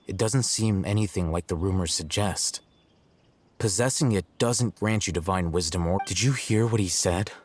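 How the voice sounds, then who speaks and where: A man voicing a calm, analytical young scholar in a video game, speaking in a composed, formal, detached manner. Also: deep voice, lightly acted. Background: soft game music.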